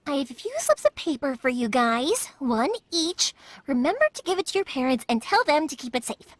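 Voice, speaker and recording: A woman's voice: high voice, very animated, fairly quick, dry recording. A woman voicing a cheerful young fireworks maker, upbeat and encouraging, in game voice acting.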